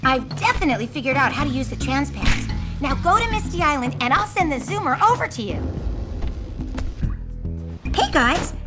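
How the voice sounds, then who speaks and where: A young woman mechanic in a video game, plucky and brisk. Her voice is high and very lively, with clear music playing underneath. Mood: earnest, instructive. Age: young adult. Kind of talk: animated video game acting.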